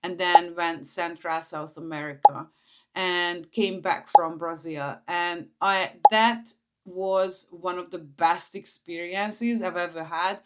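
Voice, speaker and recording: Woman, 40s, Hungarian accent, fairly low voice, informal personal vlog storytelling. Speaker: vlogger, entrepreneur.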